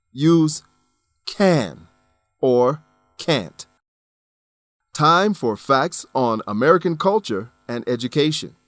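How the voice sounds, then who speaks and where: A man hosting an English lesson, reading scripted explanations with careful, deliberate enunciation. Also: medium pitch, a bit bright, no echo. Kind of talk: scripted educational narration.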